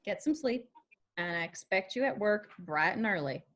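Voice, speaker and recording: A woman's voice: medium pitch, expressive, normal speed, a bit bright. A woman acting a defiant, caring daughter with a blunt, wry manner in a screenplay reading. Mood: wry, bossy.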